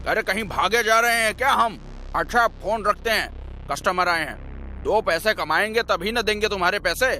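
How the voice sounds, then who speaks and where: A man puts on a folksy, fast-talking-salesman type of broker for an animated story. The voice is high for a man, with an effusive, ingratiating manner, over music. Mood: hurried, irritated. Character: chatty, folksy, eager male hill-station property broker. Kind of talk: comic animated story acting.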